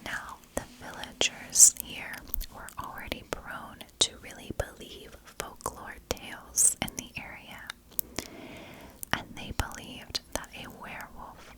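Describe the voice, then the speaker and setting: A woman narrating a scripted tale in ASMR style, in a hushed and deliberate storytelling manner. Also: low-quality recording. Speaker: ASMR storyteller and channel host. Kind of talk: whispered ASMR scripted narration of a historical legend.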